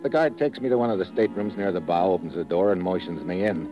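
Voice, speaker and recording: An actor playing a tough, wry American secret agent who tells his story in terse, deadpan 1950s radio drama style. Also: sits low, quick pace, lightly acted. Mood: suspenseful narrating. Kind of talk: 1950s radio drama acting, first-person hard-boiled narration. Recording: muffled vintage radio recording with music.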